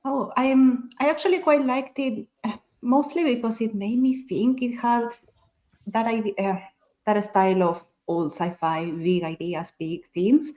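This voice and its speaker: A woman's voice: fairly high pitch, fairly even intonation, a little slow, warm tone. Native Spanish-speaking woman discussing books. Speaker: panelist in a book discussion. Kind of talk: casual book discussion.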